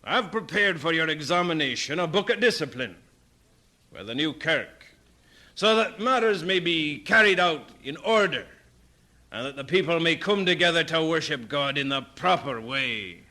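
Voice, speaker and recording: A man's voice: on the high side, expressive, moderate pace, pushed and bright. A man playing a stern, fervent Scottish preacher in an audio drama, with a solemn, oratorical manner. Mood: stern warning.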